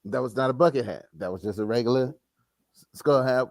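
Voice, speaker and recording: A man's voice: mid-range voice, brisk, gravelly, a little mellow, no room sound, clean studio sound. A man co-hosting a podcast, chatting casually in a slangy, profane, joking way. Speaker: podcast co-host. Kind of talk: casual chat.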